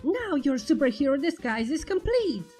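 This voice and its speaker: Woman doing a pompous, high-pitched excitable skeleton guy. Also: normal speed, faint music behind. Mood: proud, happy. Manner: pompous, emphatic proclaiming. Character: loud pompous excitable male skeleton, grandly earnest.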